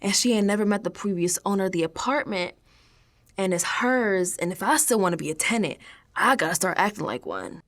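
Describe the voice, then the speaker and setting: An actress playing a troubled, defiant young American woman who is unravelling, confiding her story in a dramatic radio monologue that swings from hushed to emphatic. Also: mid-range voice, lively intonation, a little fast, close to an everyday voice. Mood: bewildered, distraught. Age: young adult.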